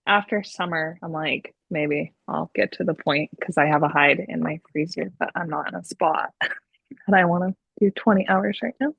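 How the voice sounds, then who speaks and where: Female call participant, creaky and husky, conversational delivery, slightly muffled lo-fi line. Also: on the low side, normal speed, no echo. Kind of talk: casual conversational question and reply.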